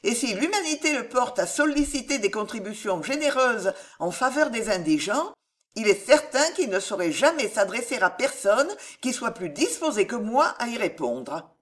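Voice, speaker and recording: A woman's voice: on the high side, very animated, normal speed, voice pushed up. A woman voicing a sincere, generous young heiress in an audiobook, speaking earnestly and politely. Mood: calm conviction. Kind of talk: audiobook character voice.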